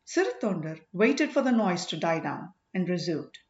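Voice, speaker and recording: A woman's voice: fairly low pitch, big pitch swings, normal speed, reverberant, lo-fi sound. A woman narrating an audiobook, reading a scripted story.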